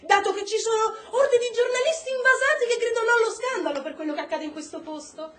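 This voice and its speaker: An actress playing an icy, commanding government secretary in a radio play, speaking formally and cuttingly. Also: female voice, extremely high pitch, fast, a crowd in the background. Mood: stern, cutting. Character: icy, commanding American government secretary, woman, rebuking an officer. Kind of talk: stage-style radio drama acting. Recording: roomy echo, faint noise.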